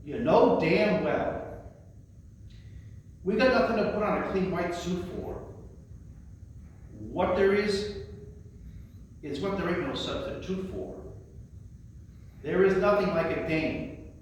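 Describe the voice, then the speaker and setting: A man presenting and reciting verse as a librarian, with theatrical, emphatic and deliberate phrasing. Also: high voice, expressive. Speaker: librarian presenting a poetry reading. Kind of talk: poetry recitation with spoken introductions. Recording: roomy echo, distant mic, faint noise.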